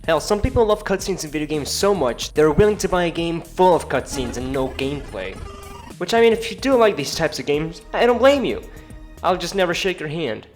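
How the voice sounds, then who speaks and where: A man's voice: a little high, animated, a little fast. A man giving casual, informal opinion commentary as a video narrator, with the odd sweary, playful aside. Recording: music under the voice. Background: background music.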